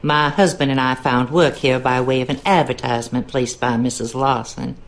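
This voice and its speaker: A woman's voice: a bass voice, steady tone, normal speed. An actress playing a folksy, gossipy cleaning woman, talking confidingly in a game monologue. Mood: welcoming.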